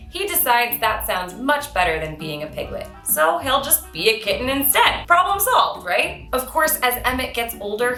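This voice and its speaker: A woman reading a children's storybook aloud in an expressive storyteller's manner. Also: a little high. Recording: roomy echo, music behind.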